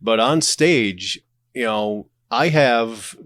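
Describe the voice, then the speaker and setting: Male podcast guest, mid-pitched, informal and frank delivery, dry close recording. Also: animated, drawn-out words, fairly bright tone, dry recording. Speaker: podcast guest, audio drama creator and streamer. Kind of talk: casual, candid conversation.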